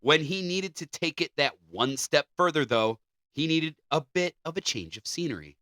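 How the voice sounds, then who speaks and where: A man's voice: fairly high pitch, lively intonation, moderate pace, sharp tone, no room sound, studio quality. A man co-hosting a podcast, reading articles aloud with casual banter. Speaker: podcast co-host.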